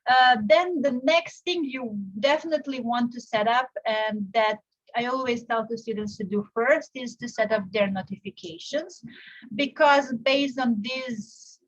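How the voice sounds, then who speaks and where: A young woman from Serbia giving a live online software tutorial, explaining step by step with frequent fillers and restarts. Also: a little high, a little slow, highs cut off. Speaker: teacher presenting a software tutorial. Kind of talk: live tutorial with screen share.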